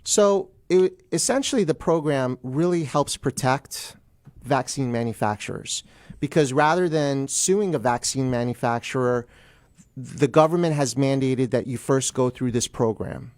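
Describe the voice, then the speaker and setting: A man's voice: medium pitch, measured pace, a little mellow, no room sound. Man explaining law, close dry sound. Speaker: guest attorney. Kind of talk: radio interview, explanatory talk.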